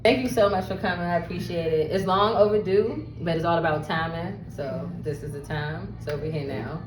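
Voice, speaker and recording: Low-voiced woman hosting, roomy sound. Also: moderate pace. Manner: slangy, informal. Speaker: interview host. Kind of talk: casual interview. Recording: roomy, distant mic, loud music behind.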